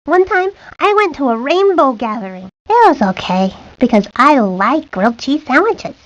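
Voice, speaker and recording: A woman's voice: high voice, sing-song, moderate pace. A woman voicing a chatty, outdoorsy young girl in a cartoon video game. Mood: chatty, earnest. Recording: muffled lo-fi game audio.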